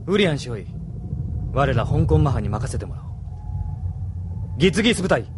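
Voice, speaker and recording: A man's voice: mid-range voice, very animated, a little fast, noisy background, lightly acted. A man playing a self-assured veteran major and ace pilot in an anime audio drama. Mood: commanding. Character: proud veteran male ace pilot, vengeful. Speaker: military major.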